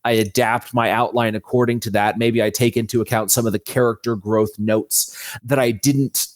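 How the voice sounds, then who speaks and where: A man's voice: medium pitch, fairly even intonation, moderate pace, dry recording. A man speaking conversationally as an interview guest, an author explaining how he works. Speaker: fantasy author, podcast guest.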